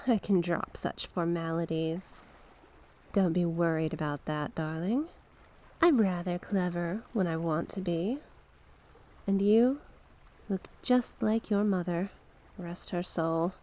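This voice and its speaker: A woman's voice: lowish voice, warm tone. A woman playing a mysterious sea witch in an ASMR roleplay, coaxing and sly, speaking with genteel courtesy and terms of endearment.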